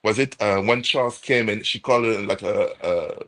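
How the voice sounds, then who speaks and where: Non-native English speaker, casual co-host, low voice, laughs a lot. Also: male voice, moderate pace, fairly bright tone. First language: not English. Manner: laughs often, searches for words, lots of "like". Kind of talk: casual live chat.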